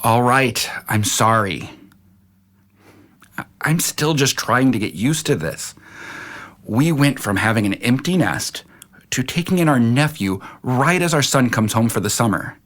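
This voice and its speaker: A man's voice: medium pitch, expressive, a little slow, fairly bright tone. A man playing a skeptical, stern but reasonable middle-aged husband and father in an audio drama. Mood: conciliatory.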